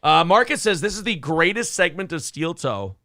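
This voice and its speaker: A man's voice: on the high side, sing-song, normal speed, bright, forceful tone, no echo, studio quality. A man hosting a casual talk show, rambling and profane, breaking into silly quoted voices now and then. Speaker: podcast host.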